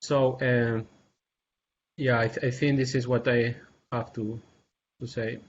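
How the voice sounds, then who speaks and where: A man's voice: mid-range voice, flat delivery, slight background noise. Earnest man on a video call. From Peru. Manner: earnest, halting, many fillers and restarts. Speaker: assistant professor panelist. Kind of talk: video call panel discussion. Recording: dull lo-fi video call audio.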